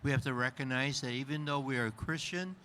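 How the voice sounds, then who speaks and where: A man's voice: medium pitch, brisk, sharp tone, a little hiss or hum. An older man, a pastor from the United States, answering on a panel hesitantly with many fillers.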